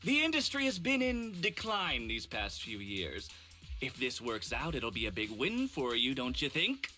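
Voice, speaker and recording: A man's voice: mid-range voice, wildly swooping pitch, a little slow, pushed and bright, faint music behind, exaggerated voice. A man voicing an enthusiastic, coaxing, money-minded film director in a video game, theatrical and salesmanlike. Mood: upbeat, coaxing.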